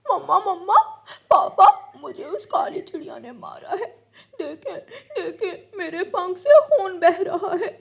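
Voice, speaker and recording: A woman's voice: very high voice, sing-song, unhurried, gravelly, voice pushed up, full character voice. A woman voicing a vain, whiny young bully boy crow in a cartoon. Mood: whining. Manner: whiny, boastful.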